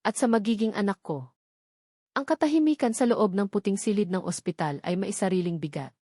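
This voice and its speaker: A woman storyteller giving a solemn, deliberate, scripted narration of a dramatic love story. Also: medium pitch, a little mellow, no room sound, clean studio sound.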